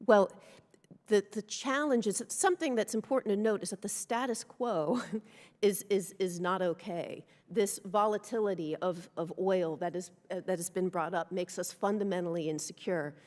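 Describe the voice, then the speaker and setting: A woman's voice: mid-range voice, moderate pace, darkish tone. A woman testifying earnestly at a committee hearing. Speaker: hearing witness. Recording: hearing room with long reverb.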